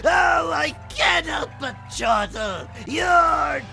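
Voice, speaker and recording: High-pitched, gloating curse-casting villain spirit. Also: male voice, animated, very slow, creaky, bright, forceful tone, raised voice, soft background music, heavily acted. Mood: gloating, cackling. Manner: gloating, theatrical. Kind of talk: cartoonish video game dub acting.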